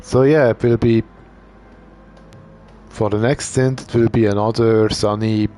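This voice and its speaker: A man doing casual live commentary on a sim racing event. He thinks out loud as he talks and uses fillers. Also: on the low side, unhurried, a little hiss or hum. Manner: relaxed, thinking aloud, with fillers.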